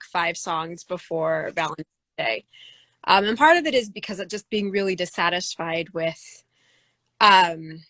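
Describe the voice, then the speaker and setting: A woman singer-songwriter with a low voice, answering interview questions thoughtfully, with many fillers and restarts. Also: pushed and bright, no room sound. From United States.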